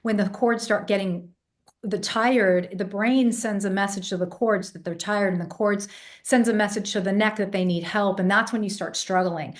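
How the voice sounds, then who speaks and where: A woman's voice: medium pitch, normal speed. A woman raised in England, a vocal coach telling stories in a casual interview, sounding congested. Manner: animated storyteller, demonstrates vocal sounds. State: congested, voice less clear than usual.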